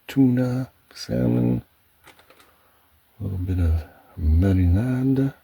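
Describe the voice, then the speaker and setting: Very slow, gravelly man, hushed. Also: deep voice. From Canada. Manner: hushed, halting, unhurried, often dropping to a whisper. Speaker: ASMR video maker giving a home tour. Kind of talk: soft-spoken ASMR tour narration. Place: indoors at home, in a pantry.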